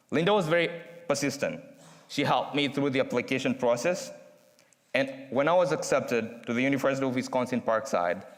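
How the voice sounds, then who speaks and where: A young man from Kenya with a Kenyan accent, giving an earnest, measured student speech with dramatic pauses. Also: mid-range voice. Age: young adult. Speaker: student speaker. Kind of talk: prepared speech read aloud. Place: university chapel. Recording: long reverb from a large chapel.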